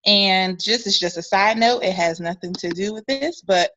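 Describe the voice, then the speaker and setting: A woman presents to her class over a video call, speaking deliberately and hesitantly, with many "um" pauses. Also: medium pitch, a bit bright. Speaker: student presenter. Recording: muffled video call audio.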